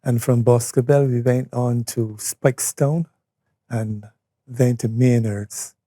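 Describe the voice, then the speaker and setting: A Barbadian man in his seventies reminiscing conversationally as an interview guest, unhurried and pausing as he tells his story. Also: mid-range voice, fairly even intonation, mellow, dry recording, studio quality. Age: 70s. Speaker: interview guest, retired or veteran educator. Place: studio.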